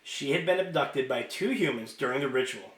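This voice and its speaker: A man's voice: mid-range voice, expressive, fairly quick, fairly bright tone, roomy sound, rough recording. A man reading a novel aloud as a storyteller, with informal asides. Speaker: reader of a children's novel.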